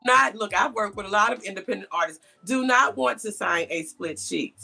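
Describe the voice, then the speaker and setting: A woman hosting a conversational interview show, asking a guest questions in a gravelly voice. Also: mid-range voice, fairly quick, bright, forceful tone, soft background music. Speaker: podcast host interviewing a guest.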